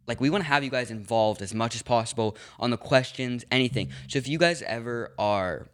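A teenage boy co-hosting a podcast with a friend. He talks quickly in a loose, chatty way, with a voice of medium pitch. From Los Angeles, USA. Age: teens. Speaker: podcast co-host. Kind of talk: casual chat.

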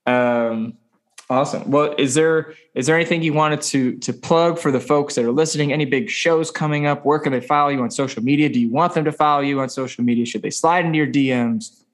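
A man's voice: fairly high pitch, fairly quick. A man from the American Midwest hosting a podcast, chatting casually with a joking, self-deprecating manner. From US Midwest (Ohio). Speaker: podcast host. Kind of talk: casual conversational interview.

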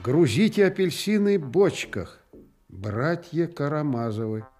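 This is a man declaiming telegrams in a satirical radio drama. The voice is slightly high and very animated, emphatic and theatrical, with faint music in the recording. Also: a little slow. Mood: pompous, emphatic.

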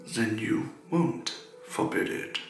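A man playing a flirtatious, persistent gentleman suitor with a theatrical, wooing delivery in an audio drama. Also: on the low side, expressive, takes it slowly, gravelly, faint music behind, full character voice. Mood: insistent, ardent. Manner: wooing, affected. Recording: echoey room.

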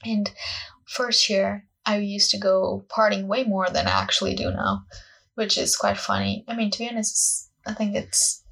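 A woman around twenty with a Portuguese accent chats casually into a solo podcast. Her voice is fairly husky, with plenty of vocal fry. She rambles in a scattered, self-conscious way, leaning on "like" as she goes. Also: medium pitch, level tone, moderate pace, fairly bright tone, poor audio. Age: young adult, about 20. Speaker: podcast host, acting student.

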